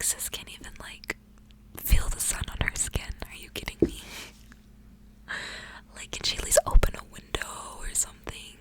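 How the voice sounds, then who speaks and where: A woman speaking in a breathy whisper in the style of an ASMR reader, softly reading and chatting. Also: rough recording. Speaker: ASMR reader hosting a book series. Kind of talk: whispered ASMR storybook reading with casual asides.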